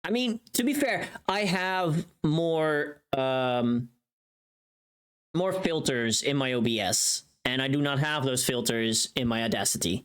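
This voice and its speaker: A woman's voice: a bass voice, measured pace. A woman chatting casually and candidly on a livestream while she works on recording, talking to her viewers. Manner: candid, swears casually, reads and answers chat. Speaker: live streamer.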